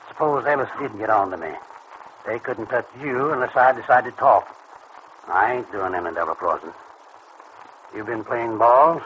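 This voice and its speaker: An actor in an old radio serial playing a wary, stubborn small-time hoodlum with blunt, uneducated slang. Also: male voice, medium pitch, natural voice. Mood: suspicious. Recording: dull old radio recording, faint noise.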